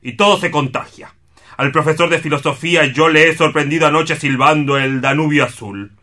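An actor playing a gloomy, solemn and indignant war-scarred orderly in a radio play, grave, formal and plaintive. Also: male voice, a little high, moderate pace, bright, forceful tone.

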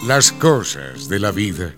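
An actor voicing a wry storyteller who narrates a radio soap. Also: male voice, low-pitched, big pitch swings, slow, fairly bright tone, heavily acted. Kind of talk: scripted narration. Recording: faint guitar music behind.

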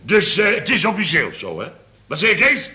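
A blustering contractor boss from a radio comedy serial. His voice is rather high, piercingly bright and swings wildly up and down. He stammers, repeats himself and gets excitedly flustered. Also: moderate pace, full character voice.

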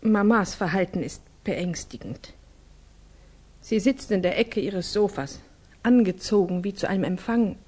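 A worried young Russian woman in a 1960s radio play. Her voice sits in a medium register. She speaks gravely, with well-bred formality and earnest concern. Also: mid-range voice, measured pace, a little mellow. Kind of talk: 1960s German radio drama acting.

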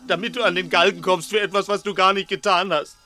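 An actor playing a nervous armed crook in a 1960s radio play, threatening yet frightened. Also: male voice, sits high, steady tone, quick pace, sharp tone, faint music behind. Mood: bitter, wounded. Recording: 1960s radio drama recording.

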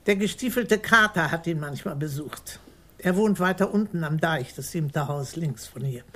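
A woman's voice: low-pitched, normal speed. Radio crime drama: stout middle-aged female marksman, blunt, defiant, bone-dry sarcasm. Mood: scornful, matter-of-fact. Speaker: murder suspect, former maths teacher.

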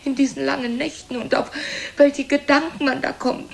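A woman's voice: high-pitched, fairly even intonation, normal speed, creaky. An older actress playing an aging, self-pitying, reproachful mother in a theatrical German radio drama, lamenting in a plaintive, dramatic way. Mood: indignant, anguished. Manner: plaintive, dramatic, repetitive lamenting with swings in loudness.

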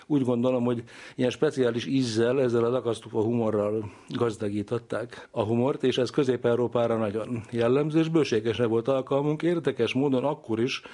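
A man's voice: mid-range voice, steady tone, dark tone. A man giving reflective, scholarly explanations in an interview, speaking discursively as an expert.

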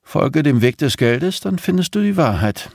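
A man's voice: on the high side, sing-song, moderate pace, gravelly, a little mellow, dry recording, clean studio sound, voice pushed up, exaggerated voice. A man voicing a bitter, vengeful, hate-filled woman in an audiobook, with a cutting, insistent manner. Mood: cold, cryptic. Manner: insistent, cutting, sharply emphatic.

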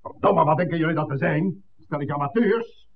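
An actor playing a cold, commanding gang boss in a 1960s radio drama, giving measured threats and curt orders. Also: male voice, a little high, slight background noise, close to an everyday voice. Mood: angry, scornful. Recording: tinny, band-limited old radio recording.

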